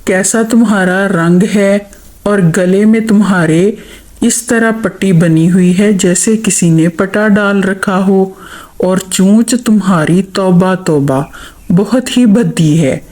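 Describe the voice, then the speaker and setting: A woman's voice: medium pitch, slow, mellow, pitched below the performer's own voice, close to an everyday voice. A woman voicing a proud, grumbling male crow with a lecturing manner in a children's animal story. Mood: indignant, scornful. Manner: indignant, lecturing.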